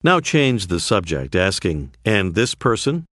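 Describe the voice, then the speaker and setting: A man narrating a language course, calmly prompting the learner with phrases to say. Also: lowish voice, dry recording, clean studio sound. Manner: slow, clear, prompting the learner. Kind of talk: scripted instructional narration.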